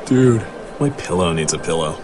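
A young actor playing a cheeky, eager film graduate who wisecracks conversationally in an animated comic drama. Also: male voice, deep voice, moderate pace, over music. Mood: wisecracking. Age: young adult.

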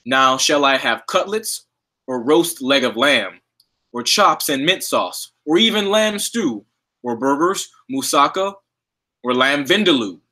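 A man's voice: medium pitch, lively intonation, unhurried, pushed and bright. A man voicing a wily, boastful old male wolf in a read-aloud children's storybook, sly and pleased with himself. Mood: gloating. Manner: sly, self-satisfied, gleeful scheming.

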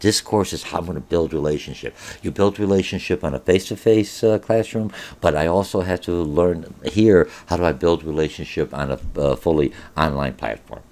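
A man's voice: low-pitched, brisk, few pauses, a little mellow. A middle-aged man, a college business instructor whose first language is Polish, giving an informal online course orientation with many filler sounds. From born in Germany.

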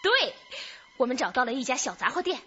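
A woman playing an eager, lively police detective in a radio drama, reporting with excitement. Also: sits high, brisk, muffled recording, faint music behind, full character voice. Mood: excited, pleased.

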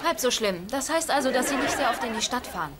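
A woman's voice: high-pitched, expressive, fast talker. An actress playing a chatty younger woman breezily dodging chores in a German sitcom dub. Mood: breezy. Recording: very noisy, crowd-like background.

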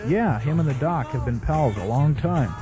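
A man's voice: mid-range voice, expressive, normal speed, music in the background, lightly acted. Man as an easygoing, matter-of-fact bartender. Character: laid-back, obliging castle bartender. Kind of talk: comic radio play acting.

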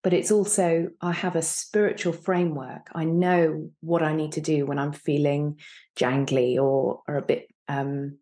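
Low-pitched, mellow woman guest. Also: steady tone, a little slow. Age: 30s. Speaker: guest actor. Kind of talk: reflective interview conversation. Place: indoor room on a video call. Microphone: video call.

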